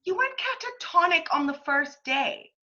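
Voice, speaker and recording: A woman's voice: very high-pitched, sing-song, normal speed, pushed and bright, exaggerated voice. A woman playing a cheeky, sardonic male stage actor who needles colleagues in a comic play reading. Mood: teasing. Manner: sarcastic, needling. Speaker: amateur actor in a remote group reading. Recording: dull, lo-fi internet call recording.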